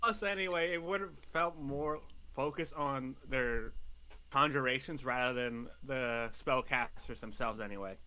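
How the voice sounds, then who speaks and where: A man's voice: medium pitch, measured pace, pushed and bright, poor audio. A man co-hosting a fan review show in casual, conversational chat.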